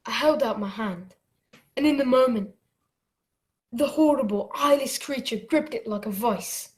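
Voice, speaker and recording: A woman playing an earnest, excitable young boy who acts and narrates his adventure in a radio play. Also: fairly high pitch, close to an everyday voice. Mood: suspenseful. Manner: dramatic, deliberate storytelling.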